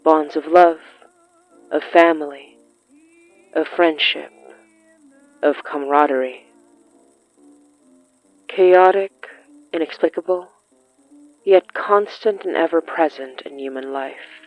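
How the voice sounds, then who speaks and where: Solemn scholarly master of magic, acted by a narrator, measured lecturer, disdainful, audio fiction, music underneath. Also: mid-range voice, no echo, highs cut off. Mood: solemn, reflective. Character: Master Savard, learned, disdainful man of magic.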